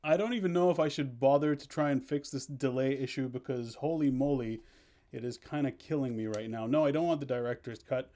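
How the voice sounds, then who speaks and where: A man's voice: medium pitch, brisk, warm tone. A man hosting a casual game stream, chatting playfully with viewers and often interrupting himself. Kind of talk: casual live stream chat. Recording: clearly noisy background.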